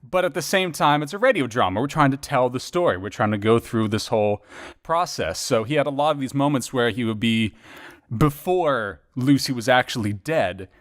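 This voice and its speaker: A man's voice: medium pitch, lively intonation, normal speed. Casual, rambling male podcast voice actor. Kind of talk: casual reflective chat about acting work.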